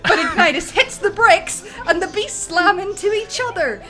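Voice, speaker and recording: A woman's voice: very high-pitched, expressive, normal speed, over music. A woman narrating a film script theatrically, with a comedic dramatic flair. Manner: theatrical, breaks easily into giggles.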